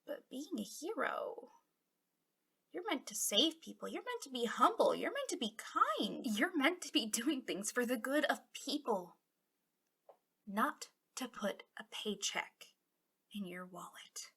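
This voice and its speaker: A woman acts out a disillusioned female villain in an ASMR roleplay, guarding her captive. Her voice is a little high and bright, with a creaky edge. She speaks intimately and dramatically, bitter and impassioned, often sinking toward a whisper. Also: very animated, a little slow, full character voice. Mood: earnest, critical.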